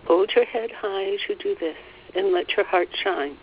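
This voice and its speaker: A woman leading a guided meditation, relaying a guide's words in a gentle, soothing way and often repeating phrases. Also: medium pitch, normal speed, dull audio, a little hiss or hum. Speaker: spiritual teacher leading a meditation.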